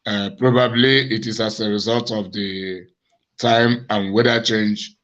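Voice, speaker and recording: A man, an evangelist hosting his own show, speaking slowly and deliberately to viewers in a hoarse, cracked voice from a cough. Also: fairly low pitch, fairly even intonation, a bit bright. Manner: slow, deliberate, accusatory. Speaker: evangelist and host. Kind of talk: monologue address to viewers. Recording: dull lo-fi recording.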